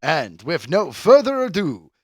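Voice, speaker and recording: A man's voice: on the high side, wildly swooping pitch, unhurried, slightly rough, no room sound, studio quality. A man hosting a podcast, casually teasing his co-host about a quiz. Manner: playful, teasing. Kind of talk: casual chat.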